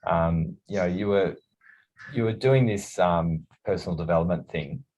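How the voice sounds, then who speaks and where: A man hosting a podcast, asking loose, halting questions full of fillers. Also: low voice, darkish tone, rough recording. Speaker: podcast host. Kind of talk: casual interview chat.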